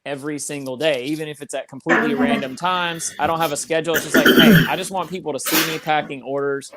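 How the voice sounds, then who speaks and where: A man's voice: a little high, steady tone, fast, over a noisy crowd. A man who runs a small business talks earnestly and at length in a casual chat over a video call. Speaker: small business owner, guest.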